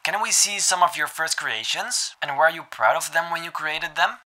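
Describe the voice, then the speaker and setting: A man reads out viewer questions one after another in a steady, even delivery with no fillers. His voice is very bright and sharp and sits a little high. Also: moderate pace, soft background music, low-quality recording. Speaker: question reader. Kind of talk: reading viewer questions aloud.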